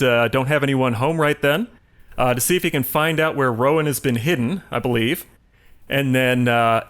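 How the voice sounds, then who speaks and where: A man co-hosting a podcast, telling a story casually in a halting, self-correcting way. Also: fairly high pitch, fairly bright tone. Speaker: podcast co-host. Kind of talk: casual film recap discussion.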